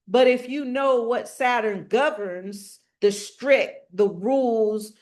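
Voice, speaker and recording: A middle-aged woman talks about spirit and the stars in a strong, commanding voice that grows more forceful as she gets passionate. She stacks rhetorical questions and repeats phrases for emphasis. Her voice sits a little higher than most women's. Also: slow. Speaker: guest speaker on spirituality and astrology. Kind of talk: passionate conversational teaching.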